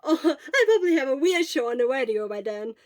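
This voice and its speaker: Lady doing a loud upbeat teen boy, loyal hero type, high and emphatic, audio story. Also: sing-song, a little fast, dry recording, studio quality. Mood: earnest, boastful. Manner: exuberant, earnest, emphatic.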